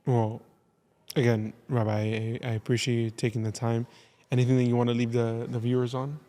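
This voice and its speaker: A man's voice: medium pitch, little pitch movement, dark tone. A male podcast host speaks earnestly, stumbling through restarts and filler words as he wraps up an interview. His voice sits in the middle range for a man. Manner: earnest, halting, with many restarts and "like". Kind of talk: interview wrap-up.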